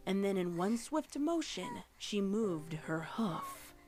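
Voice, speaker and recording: A woman's voice: mid-range voice, sing-song, takes it slowly. A woman reading scripted dramatic fiction as a story narrator. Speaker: audiobook-style story narrator. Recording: music playing under the narration. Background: music.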